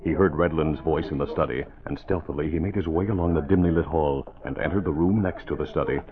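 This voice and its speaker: A man narrating a scripted 1940s radio serial in a dramatic, measured storytelling manner. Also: very deep voice, a crowd in the background. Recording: muffled vintage radio, music behind.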